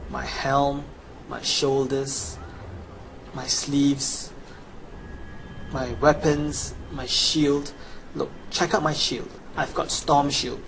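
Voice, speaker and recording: A young man narrates a video game guide as a scripted sales pitch. His voice sits at a middle pitch. There is audible noise in the recording. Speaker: gamer presenting a gold-making guide. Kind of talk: scripted promotional voice-over with game commentary. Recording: clearly noisy home recording.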